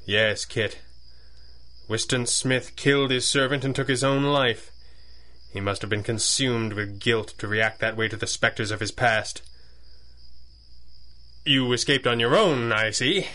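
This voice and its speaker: An actor playing a commanding, mocking masked superhero in a 1930s-style radio adventure, speaking with theatrical deliberation. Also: male voice, medium pitch, a little slow, pauses often, pushed and bright. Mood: stern.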